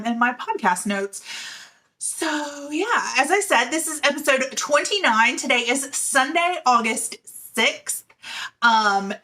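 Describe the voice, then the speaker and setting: A woman's voice: on the high side, bright, forceful tone. A woman in her forties hosting a knitting video podcast, talking casually and giggling often between fillers. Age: 40s. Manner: chatty and giggly, with many fillers. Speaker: middle school teacher. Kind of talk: casual chat to camera.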